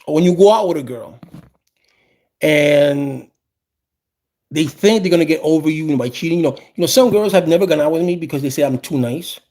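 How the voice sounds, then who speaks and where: A man of about fifty with a gravelly voice, talking casually and with opinions, often repeating phrases for emphasis. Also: a little high, normal speed, a little mellow. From South Bronx, New York, USA. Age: around 50. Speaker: host.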